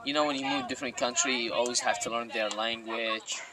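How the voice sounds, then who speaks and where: A man in his late teens from Iraq with a Middle Eastern accent gives personal testimony in halting, non-native English. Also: medium pitch, steady tone, crowd noise, low-quality recording. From Iraq, Jordan and Syria. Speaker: documentary interview subject. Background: music.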